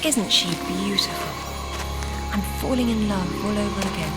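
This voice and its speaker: Daring British female game adventurer voiced by a woman: poised, dry, teasing, loud score underneath. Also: medium pitch, moderate pace, lightly acted. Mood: dry amusement. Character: cool, witty, daring adult female adventurer archaeologist. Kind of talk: video game cutscene acting. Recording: loud music behind the voice.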